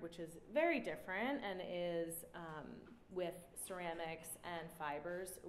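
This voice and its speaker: Artist in her 30s, low-pitched, reflective, pausing often; roomy gallery, faint hiss. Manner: relaxed, reflective, many "um" pauses. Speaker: artist giving a talk. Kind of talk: presenting her artwork with slides. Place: indoor gallery room before an audience. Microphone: standing mic on a stand.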